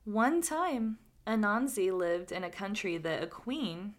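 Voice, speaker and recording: A woman's voice: medium pitch, animated, takes it slowly. A woman co-hosting a podcast, telling stories casually with chatty asides. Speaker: podcast co-host.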